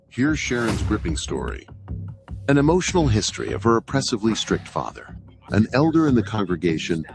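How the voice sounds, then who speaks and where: A man reading a dramatic promo voice-over as an announcer. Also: low voice. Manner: dramatic, announcer-style delivery. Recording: voice-over over music.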